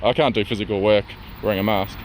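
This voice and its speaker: A man's voice: on the low side, fairly even intonation, fast talker, a little hiss or hum, poor audio. A man from Slovakia, arguing with police on a street outdoors, exasperated and defiant, recorded on a handheld phone. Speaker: furniture remover stopped by police. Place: outdoors on a suburban street with traffic.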